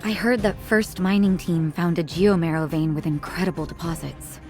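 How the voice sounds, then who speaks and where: A woman voice acting a composed, kind doctor in a video game, speaking in a calm, measured, polite way. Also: on the low side, faint music behind, lightly acted. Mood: calm, grave.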